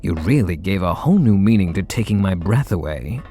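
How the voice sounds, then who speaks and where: A brooding male super villain speaks in a fairly low voice, acted out by a man. He is sardonic and hides a deep devotion. The delivery is scripted ASMR roleplay, with dramatic pauses. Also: expressive, dark tone, a little hiss or hum. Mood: anxious, confessing.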